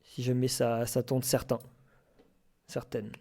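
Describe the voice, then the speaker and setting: A man hosting a casual live podcast debate, speaking in hesitant, halting phrases. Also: mid-range voice, monotone, warm tone. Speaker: podcast host.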